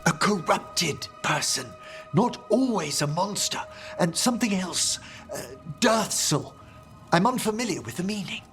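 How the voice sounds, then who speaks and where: Slow, genteel scholarly necromancer. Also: male voice, high-pitched, animated, fairly bright tone, faint music behind, full character voice. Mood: thoughtful. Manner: formal, precise, theatrical. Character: erudite, genteel male necromancer scholar, patient and curious. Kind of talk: video game voice acting.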